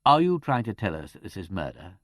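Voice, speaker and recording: A man voicing a commanding aristocratic gentleman in an audiobook, with an upper-class English accent and a brisk, wry, authoritative manner. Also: low voice, big pitch swings, dry recording, clean studio sound. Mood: strained.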